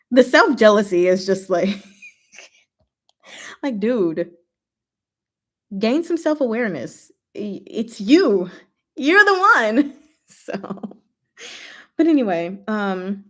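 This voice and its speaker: A woman hosting a livestream, chatting casually and playfully with her viewers in an animated, slangy way. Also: on the high side. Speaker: livestream host and book reader.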